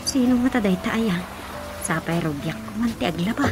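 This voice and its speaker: A woman's voice: medium pitch, expressive, normal speed, close to an everyday voice. A Filipino woman with an Ilocano accent playing a puzzled village housewife who questions and exclaims, in a radio drama comedy. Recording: music over the voice.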